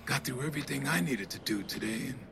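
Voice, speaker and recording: A man's voice: mid-range voice, animated, quick pace, vocal fry. An actor in an audio drama playing an easygoing, chatty street panhandler who is content with his day. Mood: mellow, friendly. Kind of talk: naturalistic audio drama acting. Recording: noisy outdoor street ambience.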